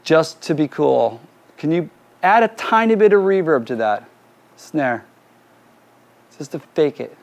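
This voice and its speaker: Male music producer, informal and directive, hands-on tutorial delivery, voice a bit high, faintly noisy drum studio sound. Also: very animated, a little slow, gravelly, pauses often. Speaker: music producer giving a mixing demonstration. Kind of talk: casual hands-on tutorial, giving instructions to crew. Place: recording studio with drum kit and PA.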